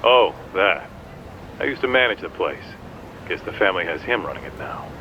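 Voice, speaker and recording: An actor playing a gruff, easygoing ex-gangster in a video game, talking casually through a phone filter. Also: male voice, very animated, normal speed. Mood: offhand. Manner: casual, offhand. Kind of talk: video game drama acting. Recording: dull phone line, faint street rumble.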